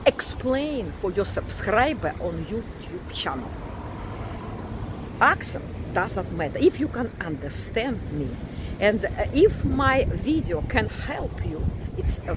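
Older woman, heavy Russian accent, lively up-and-down delivery, casual vlog into a phone by the sea, wind on the mic. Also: medium pitch. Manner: chatty, repeats words for emphasis. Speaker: vlogger, psychiatrist. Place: outdoors by a windy beach. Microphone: phone at arm's length.

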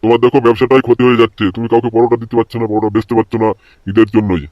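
A man's voice: mid-range voice, little pitch movement, very fast, dry recording, lightly acted. A man voicing an impatient, foul-mouthed queue customer in a comedy cartoon. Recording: dull lo-fi dry voice track.

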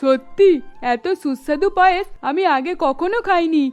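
A woman's voice: very high voice, normal speed, faint music behind. A woman voicing an emphatic, middle-aged mother-in-law in a melodramatic cartoon, exclaiming as she talks. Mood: pleased, gushing. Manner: emphatic, exclaiming, scolding or gushing. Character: scolding middle-aged Bengali mother-in-law, easily won over.

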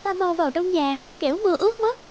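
An actress playing a sly fox demon in the guise of a young maiden, coaxing and melodramatic, in a children's cartoon. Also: female voice, very high voice, expressive, fast talker, darkish tone, dull audio, a little hiss or hum. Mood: sweetly coaxing. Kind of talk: children's fairy-tale cartoon dubbing.

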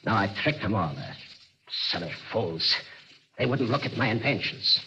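Deep-voiced, gloating mad inventor. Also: male voice, expressive, pushed and bright, husky, muffled recording, exaggerated voice. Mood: boastful. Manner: mock-courteous, deliberate, taunting. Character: gloating male mad inventor, menacing, feigning a hunchback. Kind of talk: 1940s radio acting. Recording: noisy old radio drama recording.

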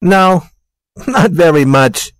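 A woman playing a humble little man in love, earnest, animated pitch, storybook dialogue. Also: slow, no echo. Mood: tender.

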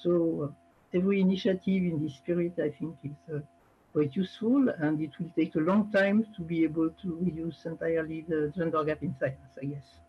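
A woman's voice: low-pitched, steady tone, moderate pace, a little hiss or hum. A woman giving a remote conference presentation through a headset mic, speaking hesitantly with many fillers and restarts. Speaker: panel speaker presenting a project. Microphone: headset microphone. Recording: distorted, muffled video call audio.

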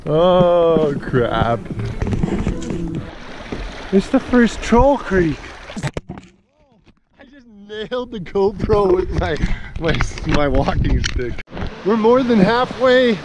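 A man's voice: high-pitched, expressive, slow, long pauses. A young man vlogging casually on a forest hike, joking and laughing with friends, filmed on a handheld action camera outdoors. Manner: laid-back, laughing, joking. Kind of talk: casual hiking vlog. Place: outdoors on a forest trail by a creek. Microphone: action camera held at arm's length. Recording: loud outdoor noise on camera mic.

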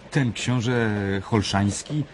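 An actor playing a curious young newcomer in a radio drama, asking short inquisitive questions. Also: male voice, medium pitch, drawn-out words, slight background noise. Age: young adult. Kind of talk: 1990s Polish radio drama acting. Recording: radio play with background ambience.

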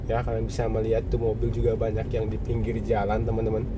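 A man's voice: lowish voice, monotone, quick pace. A man narrating his night drive in a casual, chatty way, talking to viewers from inside a car. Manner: chatty, repeats "ya kan" and "teman-teman". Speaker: car vlogger. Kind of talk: casual narration while driving. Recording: clear car and road noise. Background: road and engine noise.

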